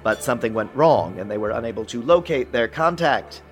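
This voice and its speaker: An actor playing a measured, secretive government intelligence official in a radio drama, speaking in a dry, deliberate way. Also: male voice, medium pitch, animated, soft background music, lightly acted. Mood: explanatory.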